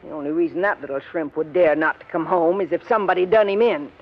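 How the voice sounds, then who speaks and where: A shrewish, scornful radio-drama wife. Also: female voice, fairly low pitch, quick pace. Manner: brusque, scornful, ungrammatical speech. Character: shrewish, bitter, domineering working-class wife. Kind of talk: 1950s radio drama acting. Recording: dull old 1950s radio recording.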